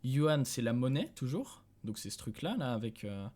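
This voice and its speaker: A man's voice: mid-range voice, big pitch swings, moderate pace, a little mellow. A young male streamer from France talks through his session in a loose, casual way, often thinking aloud and breaking into chuckles. He speaks into a desk mic in a dim room at home, addressing his viewers as he goes. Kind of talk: casual live-stream commentary.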